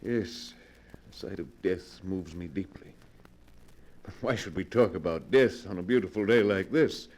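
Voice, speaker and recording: A man's voice: sits low, a little slow, darkish tone. A man acting in an old-time radio drama as a formal, courteous, lonely recluse, genteel and insistent with a faintly sinister air. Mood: solemn.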